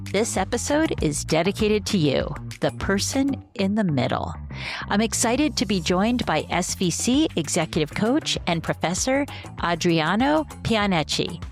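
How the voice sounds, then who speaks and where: A woman hosting a leadership podcast, reading a scripted intro and chatting with a guest in an upbeat, enthusiastic way. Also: mid-range voice. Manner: upbeat, enthusiastic, warm questions. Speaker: podcast host and coach. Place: home office, video call.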